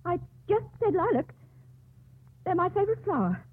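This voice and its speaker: A woman's voice: extremely high pitch, sing-song, normal speed. A woman playing a refined, fragile, nervous doctor's wife in 1950s radio drama style. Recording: tinny old radio broadcast.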